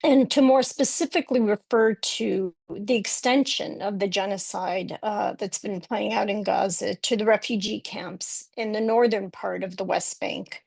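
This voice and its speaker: A woman from Chicago, presenting at a webinar in a measured, reflective way, with frequent pauses and fillers. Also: medium pitch, fairly even intonation, creaky, a little mellow, breathy edge, no echo. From Chicago, United States. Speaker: academic panelist.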